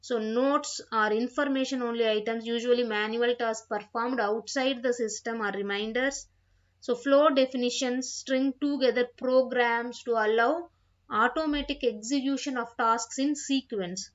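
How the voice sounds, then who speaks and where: A woman giving an instructional software tutorial, reading out points and repeating phrases as she explains them, on a dull, lo-fi microphone. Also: fairly high pitch, fairly even intonation, normal speed. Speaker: software trainer.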